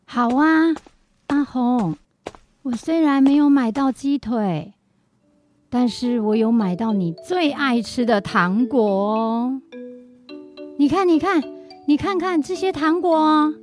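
A slow, fairly high-pitched elderly woman acted by a woman in a radio play, confused and easily indignant yet doting. Music plays with the voice. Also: animated. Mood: fond. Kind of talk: radio drama acting.

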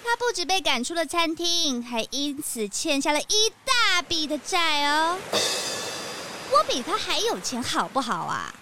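A woman's voice: high-pitched, very animated, unhurried, background noise. A woman playing a sassy, gossipy suspect, scornful and emphatic, in a children's detective radio drama. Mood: gossipy, indignant.

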